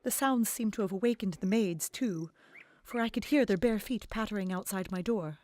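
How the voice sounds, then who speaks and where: A woman narrating a gothic novel in the first person, expressive and dramatic, dropping to whispers in the hushed passages. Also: medium pitch, moderate pace.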